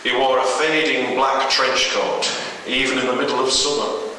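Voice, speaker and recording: A man's voice: medium pitch, little pitch movement, normal speed, pushed and bright. A man reading a horror story aloud dramatically as a radio host, in an echoey, noisy recording at a distance from the microphone.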